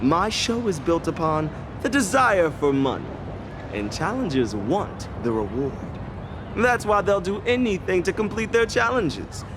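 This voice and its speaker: A man's voice: high voice, sing-song, unhurried, noisy background. A sly, teasing showman. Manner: teasing, theatrical, chuckling. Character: sly showman producer, mocking and persuasive. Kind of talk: video game cutscene voice acting. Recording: music playing underneath.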